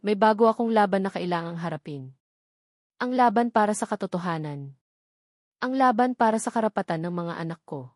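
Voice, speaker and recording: A woman's voice: mid-range voice, a little mellow, no room sound, studio quality. A woman with a Filipino accent reads a scripted first-person dramatic story in short, earnest, sentimental phrases. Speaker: story narrator.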